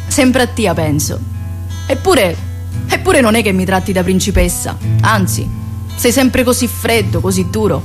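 A woman's voice: mid-range voice, lightly acted. An actress playing a young woman in love with a Sicilian accent, intimate and wistful in a radio play. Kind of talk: radio theatre monologue. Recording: soft guitar music behind.

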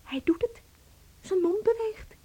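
An actress in a Dutch radio play playing a jealous, wounded and possessive wife, dramatic and commanding. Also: female voice, extremely high pitch, animated, a little slow, dark tone. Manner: dramatic, commanding, emotionally volatile. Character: jealous, wounded wife fighting possessively over her tiny husband.

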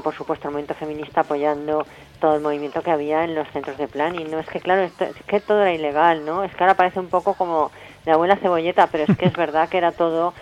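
Fast-talking Spanish woman, emphatic and colloquial. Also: sits low, low-quality recording. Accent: Spain. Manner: emphatic, colloquial, full of checking tag questions. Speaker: interviewed guest, health professional and feminist activist. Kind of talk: informal radio interview, explanatory.

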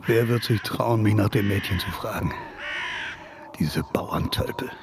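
A man's voice: on the low side, a little slow, music in the background. An actor playing an arrogant, scheming nobleman in a radio drama, with a sneering and commanding manner. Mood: contemptuous scheming. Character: arrogant, vengeful nobleman villain, scheming and contemptuous.

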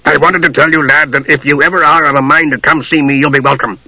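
A man voicing a gruff, irritable old dwarf with a rough voice, in a fantasy story reading. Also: fairly high pitch, fairly even intonation, fast talker, pushed and bright, dry recording, exaggerated voice, poor audio. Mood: awkward, reluctant affection. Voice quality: gravelly, rough. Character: gruff, grumbling old male dwarf with a soft heart.

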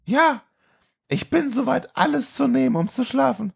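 A man voicing a troubled, sleepless young woman in an amateur radio play of film dialogue, in an exaggerated falsetto. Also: expressive, normal speed, vocal fry, breathy edge, heavily acted. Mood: earnest, pleading.

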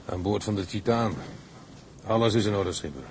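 Low, sober crewman, noisy ship background male actor. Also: normal speed, darkish tone, natural voice. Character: loyal, steady ship's crewman reporting to his skipper. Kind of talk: dramatic acting. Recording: noisy shipboard ambience.

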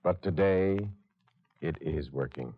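Casting: grave, kindly physician, extremely low voice, dull vintage radio-play recording. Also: male voice, takes it slowly, heavily acted. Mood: gentle, awed. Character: grave, gentle hospital doctor. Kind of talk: old-time radio drama acting. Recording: muffled old radio recording.

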